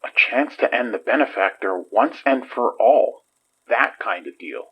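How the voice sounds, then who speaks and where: A husky, emphatic detective. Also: male voice, medium pitch, animated, a little slow, lo-fi sound. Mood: firm. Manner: emphatic, argumentative. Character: stubborn, protective, exasperated male detective. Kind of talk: horror audio drama acting.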